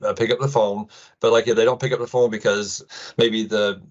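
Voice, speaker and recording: Middle-aged American man, lowish voice, hesitant casual tech demo, frequent ums, dull lo-fi call audio. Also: little pitch movement, fairly quick. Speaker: presenter, IT administrator. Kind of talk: informal tech demo presentation.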